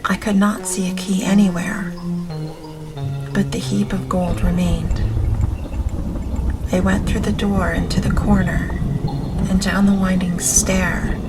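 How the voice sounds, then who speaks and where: A woman reading an audiobook in a gentle, soft, measured and dramatic way. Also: lowish voice, flat delivery, low-quality recording. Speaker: audiobook narrator. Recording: music behind the reading. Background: music, storm ambience of rain and thunder.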